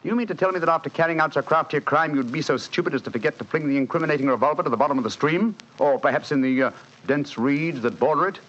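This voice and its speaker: A man acting a brilliant, self-assured English detective in a 1940s radio drama, lecturing crisply and logically in a British accent. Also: on the high side, rapid-fire, a bit bright, lightly acted. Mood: insistent reasoning. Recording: dull, hissy 1940s radio recording.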